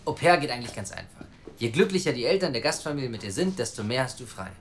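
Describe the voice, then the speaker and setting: A man's voice: mid-range voice, sing-song, fast talker, slight background noise. An actor playing a brash, defiant young man with a coarse mouth in a naturalistic audio drama. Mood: smug, storytelling. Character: brash, provocative young man pleading to adopt a child.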